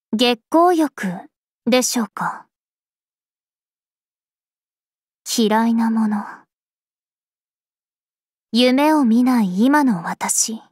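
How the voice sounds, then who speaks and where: A woman playing a regal, serene vampire princess of a video game, speaking formally and with measured poise. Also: on the high side, no echo, studio quality. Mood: composed, aloof. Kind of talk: scripted mobile game character voice lines.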